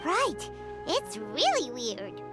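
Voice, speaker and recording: Tiny chirpy game fairy, super high squeaky voice, bouncy pitch, loud music behind. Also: female voice, wildly swooping pitch, slow, exaggerated voice. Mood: puzzled. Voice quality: squeaky, childlike. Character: small childlike fairy companion, eager, chirpy. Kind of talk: anime video game voice acting.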